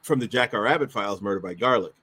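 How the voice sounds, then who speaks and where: A man co-hosting a casual chat show, thinking aloud in a halting, repetitive way. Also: fairly low pitch, pushed and bright, husky. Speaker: co-host and director.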